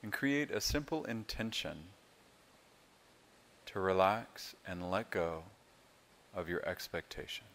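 A man leading a guided meditation as a yoga instructor, speaking in a calm, soothing, unhurried way with long pauses. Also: low voice.

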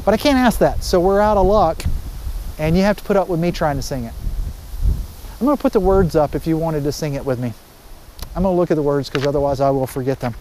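A man's voice: a little high, fast, darkish tone, rough recording. A middle-aged man, a pastor, giving an informal, conversational sermon outdoors on a lapel mic. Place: outdoors, under trees by water. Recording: faint outdoor background noise.

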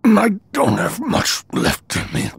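An actor playing a badly wounded alien warrior in an anime game, straining raspily to speak in broken, gasping phrases. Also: male voice, sits high, huge pitch swings, slow pace, no echo, full character voice. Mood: desperate, fading. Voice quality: raspy, strained. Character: badly wounded, loyal male alien warrior, near death. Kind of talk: dramatic dubbed anime game acting. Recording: music under the voice. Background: music.

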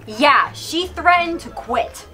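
Chatty student, high bright voice, eager. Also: female voice, lively intonation, slow pace, exaggerated voice. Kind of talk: scripted comedy skit acting. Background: music bed.

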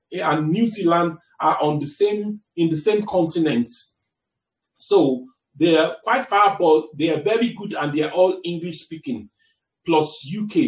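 A man with a Nigerian accent explaining a website to viewers as a careers adviser, restarting and repeating phrases as he goes. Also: high-pitched. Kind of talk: screen-share walkthrough of a website. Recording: distant roomy mic, dull lo-fi sound.

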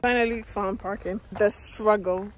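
A woman's voice: medium pitch, fairly even intonation, moderate pace, music in the background. A woman in her twenties talking casually to the camera on a phone held at arm's length, playful and giggly. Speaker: vlogger. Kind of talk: casual vlog chat. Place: apartment, shops, car and outdoors.

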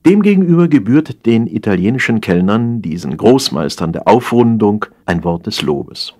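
A man narrating a humorous satire as an audiobook reader, in a wry, theatrical storytelling manner with drawn-out emphasis. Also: on the low side, sing-song, a little mellow.

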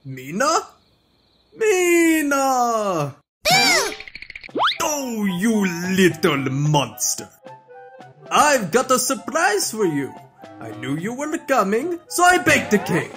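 A woman's voice: on the high side, wildly swooping pitch, slow, pauses often, loud music behind the voice, exaggerated voice. A woman voicing a haughty, evasive vampire count in a melodramatic cartoon dub. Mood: playful. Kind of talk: cartoon fan dub acting.

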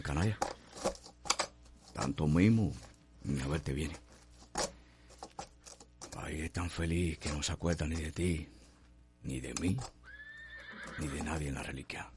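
A man's voice: extremely low pitch, fairly even intonation, measured pace, long pauses, very noisy. An actor playing a melancholy, lovelorn man confiding in his horse in a radio soap, with a Cuban accent. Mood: wistful, tender. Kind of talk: radio soap opera acting. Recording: loud music and horse sound effects.

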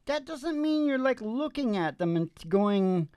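An older man hosting a live show, chatting casually and haltingly between songs in a folksy, joking way. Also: extremely high pitch, very animated, a little mellow, clean tone, no echo, studio quality. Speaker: host and musician of a live radio show. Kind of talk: casual rambling stage chat between songs. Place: small indoor stage with curtain backdrop. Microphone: stage microphone on a stand.

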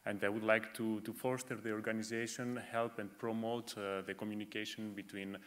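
A young man, a doctoral student, introducing himself as a board candidate in a conference hall, steady but with fillers. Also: fairly low pitch, a little fast. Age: young adult.

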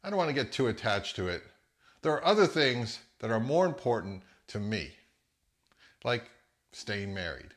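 American man with very lively pitch swings. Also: moderate pace. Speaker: host of a hi-fi audio channel. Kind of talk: casual talking-head vlog.

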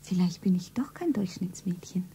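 Lovestruck young shopgirl: earnest, very breathy, mellow, 1970s radio play. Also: fairly low pitch, animated. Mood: dreamy narrating. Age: young adult. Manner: breathy, earnest, deliberate self-narration. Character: Gabi, lovestruck ordinary young working girl. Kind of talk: stylised 1970s German radio play acting.

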